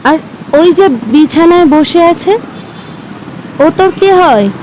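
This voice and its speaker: A woman's voice: extremely high pitch, expressive, slow pace, a little mellow, slight background noise, heavily acted, poor audio. A woman playing a kind-hearted, earnest schoolgirl in an audio story. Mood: gentle, kindly.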